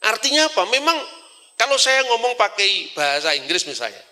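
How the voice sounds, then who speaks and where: Bright-voiced Javanese-accented man lecturing. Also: high voice, brisk. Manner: animated, rhetorical questions, mixes in Javanese phrases. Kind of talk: religious lecture.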